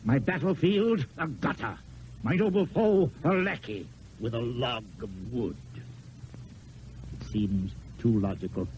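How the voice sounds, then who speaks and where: An actor playing a proud, lovelorn, dying poet-swordsman in an old-time radio play, with theatrical, declamatory delivery and drawn-out poetic phrases. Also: mid-range voice, expressive, a little mellow, a little hiss or hum, lightly acted. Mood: wry, bitter. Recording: dull lo-fi old radio, music.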